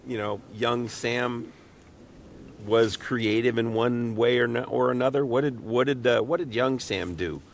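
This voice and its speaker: A man with a rough, hoarse voice, sick, co-hosting a casual interview with joking, friendly banter. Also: mid-range voice, measured pace, a little hiss or hum. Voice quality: rough, raspy. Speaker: podcast co-host interviewing guests. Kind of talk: casual chat.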